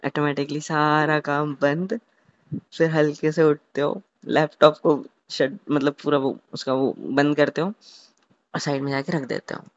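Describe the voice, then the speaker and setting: A young man in an intimate, casual phone chat, telling a romantic scenario haltingly, repeating words and mixing in English. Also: a little high, fairly even intonation, no echo. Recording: muffled lo-fi phone call recording.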